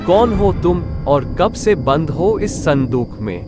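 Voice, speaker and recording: A woman's voice: deep voice, lively intonation, takes it slowly, pitched below the performer's own voice. A woman voicing an impatient, dismissive male crow, a husband in a cartoon story. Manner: brusque, dismissive. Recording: music underneath.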